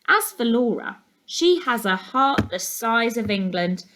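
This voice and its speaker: A woman's voice: a little high, very animated, unhurried, background noise. A woman voicing a gossipy, opinionated neighbour in a storybook, confiding and emphatic. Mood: gossipy, admiring. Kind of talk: storybook character monologue.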